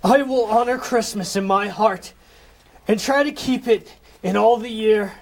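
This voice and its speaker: A man's voice: high-pitched, measured pace. A man playing a repentant old miser in a theatrical, emotional style for an amateur comic audio play. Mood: desperate pleading. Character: repentant old male miser, desperate then giddily overjoyed.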